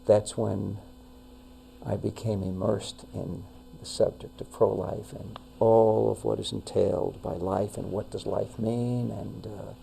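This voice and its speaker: An older man with a New York accent speaks as a guest on a local talk show, his voice low and heavily gravelly. He talks in a measured way, often pausing on fillers and restarting a phrase. A faint mains hum sits under the recording. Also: flat delivery, dark tone, rough recording. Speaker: retired pastor, guest. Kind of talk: casual interview talk.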